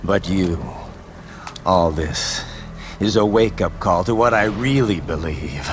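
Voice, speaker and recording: A man's voice: slow, background noise. An actor playing a grim male cyborg warrior who broods darkly and admits his love of killing, in a video game cutscene. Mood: dark, confessional. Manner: low, gravelly, brooding, turning to savage shouts. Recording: game cutscene over loud music.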